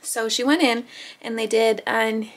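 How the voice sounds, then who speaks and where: Chatty, fairly high-voiced young woman. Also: fairly even intonation, measured pace. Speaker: vlogger. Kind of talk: casual vlog chat. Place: rooms of a home. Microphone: handheld camera at arm's length.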